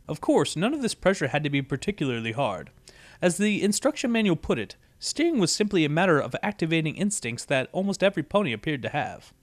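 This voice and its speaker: A man's voice: a little high, dry recording. A man reading a story aloud as scripted narration. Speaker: story reader.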